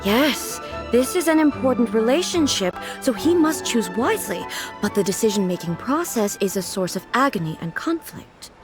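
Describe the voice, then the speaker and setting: A stiff formal goddess ruler, highish voice, calm and measured, game music under it. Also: female voice. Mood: earnest. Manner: calm, measured and literal-minded. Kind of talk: video game dialogue.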